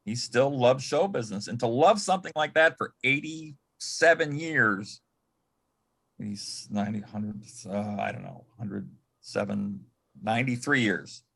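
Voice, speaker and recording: An American man from Michigan reminiscing as a panel guest in a halting, reflective way, often repeating words. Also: mid-range voice, gravelly, fairly bright tone, husky. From Michigan, United States. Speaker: panel guest, former assistant to an entertainer.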